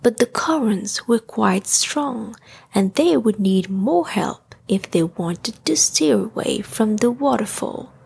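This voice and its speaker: A woman narrating a children's bedtime story in a gentle, breathy, husky voice. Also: a little high, animated, slow.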